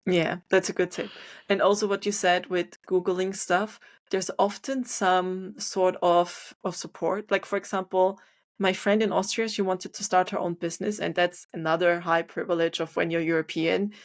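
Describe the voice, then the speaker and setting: A woman hosting an interview, asking measured questions in an encouraging, chatty way. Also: lowish voice, level tone. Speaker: podcast host. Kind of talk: casual interview chat.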